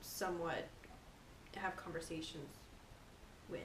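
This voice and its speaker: A woman giving a measured interview answer that trails off softly. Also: fairly low pitch, music in the background. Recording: echoey room with faint hiss.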